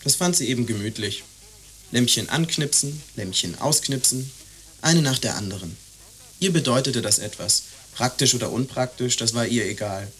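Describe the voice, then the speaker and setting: A man's voice: mid-range voice, normal speed, a bit bright, close to an everyday voice. An exasperated young man in a radio drama, played by a male actor. He argues emphatically and repeats himself, defending what he holds dear. A faint hiss sits under the voice. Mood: defensive. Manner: emphatic, repetitive, argumentative. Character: exasperated young man defending his lost love's keepsakes. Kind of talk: radio play dialogue acting.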